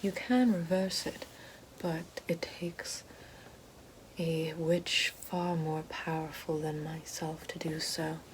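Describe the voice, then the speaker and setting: A woman playing a regal, menacing evil queen witch in an ASMR fantasy roleplay, speaking slowly and formally in a soft, breathy, often whispered voice. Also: sits low, fairly even intonation, slight background noise, poor audio. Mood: solemn, ominous. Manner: slow, deliberate, formal.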